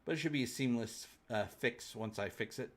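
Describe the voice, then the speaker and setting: A man's voice: mid-range voice, fairly quick. A man hosting a fan livestream, chatting casually and answering viewers in a rambling, jokey, colloquial way. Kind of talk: casual chat, answering live viewer comments.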